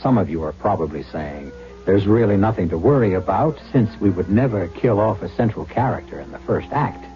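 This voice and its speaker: Dull old radio recording, male host, low voice, measured ominous narration. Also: expressive, soft background music. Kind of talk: dramatic scripted narration.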